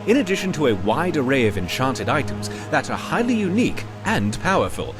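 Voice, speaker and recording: A scholarly, self-assured curator from a fantasy game. His fairly high voice rises and falls dramatically with pompous, formal courtesy. Music plays clearly behind him. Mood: proud. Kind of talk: video game character dialogue.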